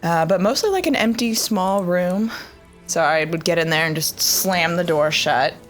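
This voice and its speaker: A woman playing a tabletop roleplay, describing her character and arguing in character in an animated way. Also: on the low side, normal speed, soft background music. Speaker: player.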